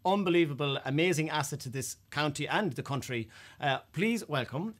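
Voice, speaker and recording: A man's voice: fairly high pitch, expressive, normal speed. A man with an Irish accent hosting a chatty talk show interview.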